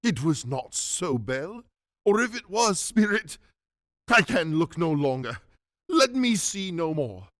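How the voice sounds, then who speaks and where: A man's voice: fairly high pitch, sing-song, normal speed, dry recording, studio quality. A man playing an anxious, humbled man who pleads with spirits, earnest and formal, in a radio drama. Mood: anguished, pleading.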